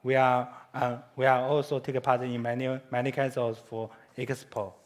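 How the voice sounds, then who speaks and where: A man with a Chinese accent, a native Chinese speaker, giving a conference presentation in halting English with frequent restarts and self-corrections. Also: mid-range voice, little pitch movement.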